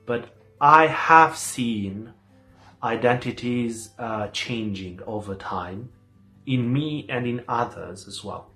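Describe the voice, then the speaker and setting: A man in his twenties from Romania, giving measured, reflective interview answers. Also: medium pitch, sharp tone, soft background music. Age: 20s.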